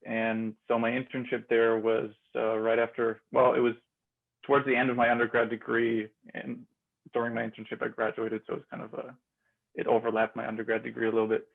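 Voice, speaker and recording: A young man from Austria answers interview questions, stopping and restarting often with plenty of fillers. His voice is gravelly and stays fairly level in pitch. The sound is narrow and tinny, like a phone line. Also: monotone, fairly quick. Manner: hesitant, many fillers and restarts. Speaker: guest, MBA grad student. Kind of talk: casual interview.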